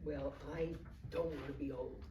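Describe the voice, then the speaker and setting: An actress playing a frail, forgetful old wife in a staged play reading, anxious and tender, with halting speech. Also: female voice, deep voice, steady tone. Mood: uneasy. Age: older. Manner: hesitant, halting, stammering. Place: live staged reading in a room. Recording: echoey room, distant mic, faint noise.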